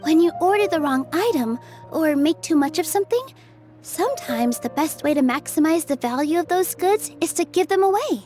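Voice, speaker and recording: A woman's voice: extremely high pitch, expressive, moderate pace, darkish tone. A woman voicing a kind, reassuring young theater dancer in a video game, friendly and polite. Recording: faint music behind the voice.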